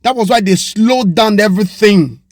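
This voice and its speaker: High-voiced Nigerian man ranting, dry sound. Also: moderate pace, darkish tone, dry recording. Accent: Nigerian Pidgin English. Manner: passionate, rhetorical questions, mixing Pidgin and Yoruba. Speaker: commentator. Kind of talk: opinion commentary monologue.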